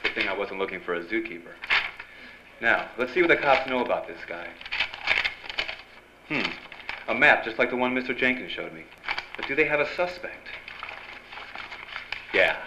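Cocky noir newspaperman, lowish voice, wry slangy delivery, radio drama w/ noisy background. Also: lively intonation, normal speed, long pauses. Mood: musing, wry. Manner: wry, clipped period tough-guy slang. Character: wisecracking hardboiled male newspaper reporter, sly and cocky. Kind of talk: noir radio drama acting with first-person narration. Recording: dull, noisy live radio-play recording.

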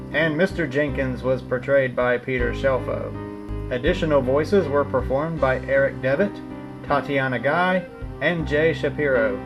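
A man announces radio drama credits in a scripted read. His voice sits at a medium-high male pitch, with clearly audible music behind him.

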